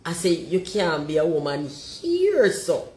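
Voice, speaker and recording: A Jamaican woman with a deep voice, talking forthrightly and emphatically and slipping into patois. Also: big pitch swings, slow, a little mellow, reverberant. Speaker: commentator.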